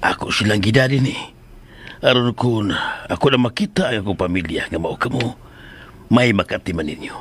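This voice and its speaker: A composed, gentle father. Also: lowish voice, moderate pace, breathy edge. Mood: gentle, reassuring. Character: kindly, composed father returning to his family. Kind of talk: radio drama acting.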